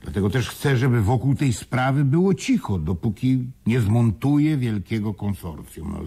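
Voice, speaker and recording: An actor playing an excitable but pragmatic man in a radio play, talking conversationally in a husky voice. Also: medium pitch, normal speed. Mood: reasoning. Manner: conversational, animated, hesitant repetitions. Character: excitable, pragmatic man explaining news to his partner. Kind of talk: Polish radio play acting.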